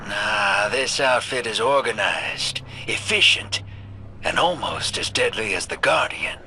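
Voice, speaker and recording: An actor playing a wry, streetwise rogue with a folksy, laid-back manner in a video game cutscene. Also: male voice, on the low side, lively intonation, a little slow, pushed and bright, a little hiss or hum, exaggerated voice, rough recording. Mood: wary.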